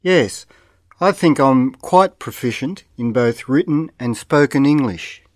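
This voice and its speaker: A man reading scripted model interview answers aloud in a slow, carefully enunciated way, like a language course recording. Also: medium pitch, animated, dry recording, clean studio sound.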